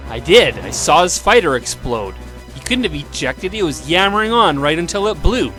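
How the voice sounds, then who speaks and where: A man's voice: fairly high pitch, sing-song, moderate pace, a bit bright, soft background music, rough recording. A man playing an earnest, disbelieving fighter pilot in a sci-fi audio drama, insistent and colloquial. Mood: defensive.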